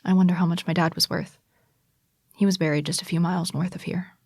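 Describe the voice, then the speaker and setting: An actress playing a frightened young woman musician on the run, telling her story in a hushed, measured, tense way in an audio drama. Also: fairly low pitch, steady tone, creaky, slightly rough, dry recording, studio quality. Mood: tense, grave. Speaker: narrator and lead character. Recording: music bed under voice.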